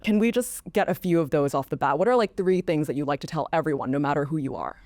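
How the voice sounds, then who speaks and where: A woman from Hong Kong hosting an interview, asking measured, attentive questions. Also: sits low, a little mellow, dry recording. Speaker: interview host.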